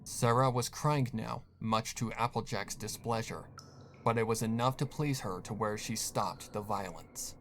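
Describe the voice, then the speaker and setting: A man's voice: fairly low pitch, a little slow, a bit bright. A man reading a scripted horror story as a dramatic narrator. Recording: faint background noise.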